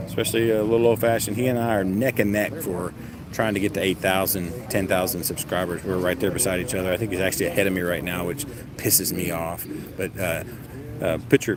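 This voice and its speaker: A man's voice: sits low, background noise. A man livestreaming from an outdoor festival line in a casual, rambling way, with lots of fillers, restarts and self-deprecating jokes. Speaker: vlogger streaming live. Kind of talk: casual live stream commentary. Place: outdoors in a long festival queue near traffic. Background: crowd chatter.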